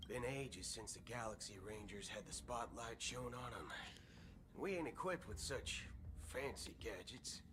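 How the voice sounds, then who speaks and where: A man's voice: medium pitch, animated, measured pace, natural voice. A man playing a swaggering, folksy cowboy gunslinger from space in a video game, with a theatrical American Western drawl. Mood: easygoing, persuasive. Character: swaggering male cowboy galaxy ranger gunslinger, folksy and amiable. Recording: game music behind the voice.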